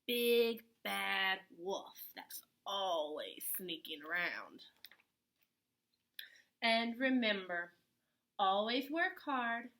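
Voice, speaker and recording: A woman's voice: fairly high pitch, bright, forceful tone, full character voice. A woman playing a firm, caring mother pig. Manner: emphatic, advising.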